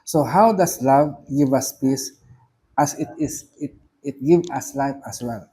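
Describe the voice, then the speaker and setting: A man with a Filipino accent, speaking English as a second language, giving a measured, solemn reflection on a prayer with occasional fillers. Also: mid-range voice, warm tone, breathy edge, low-quality recording. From Philippines. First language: not English. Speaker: reverend giving commentary.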